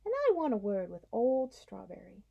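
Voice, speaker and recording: A woman voicing a blunt working-class male cab driver in a storybook reading, with rough everyday speech. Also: medium pitch, huge pitch swings, normal speed, dull audio, exaggerated voice. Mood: hurt.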